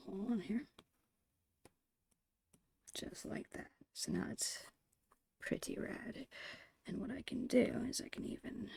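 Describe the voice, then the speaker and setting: Woman, soft whisper with creaky edges, unhurried murmured musing, ASMR art host, close microphone. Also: fairly high pitch. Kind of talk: whispered ASMR narration of digital painting.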